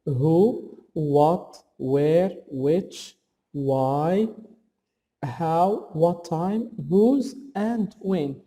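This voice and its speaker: Man, grammar teacher, high voice, deliberate instructional reading, long echoing hall reverb. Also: mellow. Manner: deliberate, didactic, reading out example questions and answers. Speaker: English teacher. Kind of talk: scripted grammar lesson narration.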